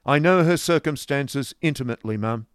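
Male reader as a hard, blunt gentleman, loud and clipped, voiced up a step, in a dry room. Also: medium pitch, moderate pace, smooth, no echo, pitched above the performer's own voice, lightly acted. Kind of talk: audiobook character voice.

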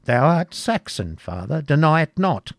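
A man's voice: on the high side, sing-song, unhurried, no room sound, clean studio sound, pitched above the performer's own voice. A man putting on a harsh old crone. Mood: coaxing. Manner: scolding, commanding.

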